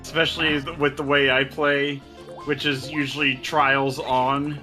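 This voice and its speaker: Bright-voiced man joking through race commentary. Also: mid-range voice, flat delivery, soft background music. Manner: jokey, analytical, quick asides. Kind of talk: casual live race commentary.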